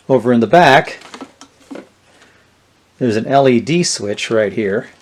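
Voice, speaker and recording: A man giving a casual, unscripted hands-on product walkthrough in a plainspoken, explanatory manner. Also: mid-range voice. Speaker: gadget reviewer. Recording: clear background noise and clicks.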